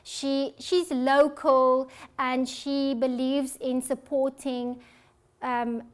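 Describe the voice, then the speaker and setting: A woman's voice: high-pitched, flat delivery, very slow. A woman with a South African accent, an audiologist giving a health talk and answering audience questions in an earnest, reassuring way. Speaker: audiologist presenter.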